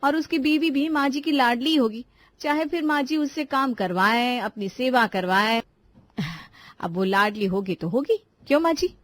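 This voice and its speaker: A woman's voice: high-pitched, lively intonation, moderate pace, a bit bright. Woman as a cheeky, sarcastic young daughter-in-law. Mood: sweetly sarcastic. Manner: sarcastic, mock-innocent needling. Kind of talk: animated family drama voice acting in Hindi.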